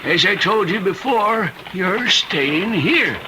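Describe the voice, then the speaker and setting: High-pitched folksy old-timer, noisy recording. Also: male voice, expressive. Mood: calm, insistent. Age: older. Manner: courtly, cryptic, unhurried explaining. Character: genial but unyielding mysterious old-timer man, folksy guide. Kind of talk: old-time radio drama acting.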